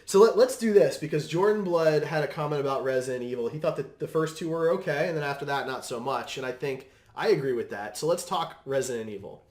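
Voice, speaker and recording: A man's voice: a little high, fast talker, hardly any pauses, darkish tone. A man hosting a casual panel chat in an easygoing, agreeable way. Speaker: podcast host. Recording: roomy, echoing room.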